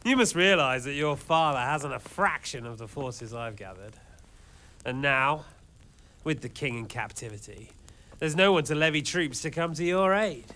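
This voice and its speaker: An actor playing a scheming mercenary lord who taunts a captive with silky menace. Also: male voice, fairly high pitch, expressive, normal speed, sharp tone. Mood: menacing. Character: smug scheming mercenary lord, adult man. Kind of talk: game cutscene acting.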